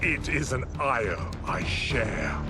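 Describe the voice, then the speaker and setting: A sinister, grandiose necromancer who draws out his words very slowly. His pitch swoops expressively over loud music. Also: animated. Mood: ominous. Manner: slow, ominous, theatrical. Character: sinister necromancer, grown man, menacing and grandiose. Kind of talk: video game cutscene acting.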